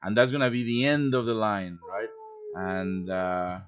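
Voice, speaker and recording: A man's voice: lowish voice, measured pace, slight background noise. A man with a chatty manner hosting a casual live tutorial, often checking in with his listeners as he teaches. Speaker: host teaching game quest design. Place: live stream over online voice chat.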